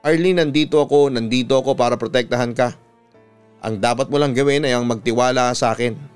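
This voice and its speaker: A man's voice: mid-range voice, steady tone, a little fast, smooth, soft background music, lightly acted. A Filipino man voicing an earnest, devoted suitor, insistent and tender, as in a radio drama. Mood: tender, pleading.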